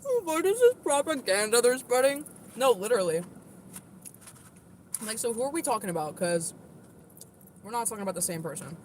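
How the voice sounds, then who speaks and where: A woman with a moderately deep voice, livestreaming and answering her viewers' comments in a chatty, defensive way, full of "like" and "literally". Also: very animated, brisk, long pauses, darkish tone, a little hiss or hum.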